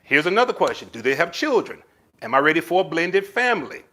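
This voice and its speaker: A man's voice: a little high, quick pace. A man hosting a casual live stream, reading viewer comments and giving relationship advice, calling viewers baby. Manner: animated, addresses viewers with pet names like baby. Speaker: livestream host answering viewer comments.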